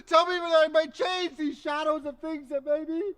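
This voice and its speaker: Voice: sits high, fairly even intonation, moderate pace, a bit bright. A performer playing an old miser who begs a spirit for mercy and then rejoices, in a theatrical stage style. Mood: pleading. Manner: theatrical, pleading then exclaiming. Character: old miser, repentant and overjoyed. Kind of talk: stage acting of a classic tale.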